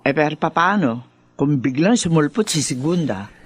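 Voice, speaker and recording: Woman acting teasing aunt, husky, lively intonation, chatty, curious, Filipino radio drama. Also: low voice, moderate pace, no echo. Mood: playful, wondering.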